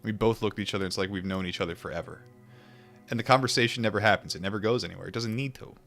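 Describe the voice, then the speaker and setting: A man's voice: fairly low pitch, creaky, a bit bright, soft background music. A man who reads a book aloud to viewers and chats with them in an informal, musing way. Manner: musing, informal, with pauses and repetitions. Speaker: streamer reading a book aloud and chatting with viewers. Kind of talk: book read-aloud mixed with casual reflective chat.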